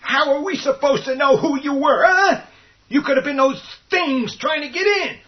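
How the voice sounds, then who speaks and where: A defensive, stubborn man in a horror radio drama. His very high voice swoops with agitation as he argues, on slightly muffled audio. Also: normal speed. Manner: agitated, argumentative. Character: stubborn, panicky, defensive family man survivor. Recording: dull lo-fi audio.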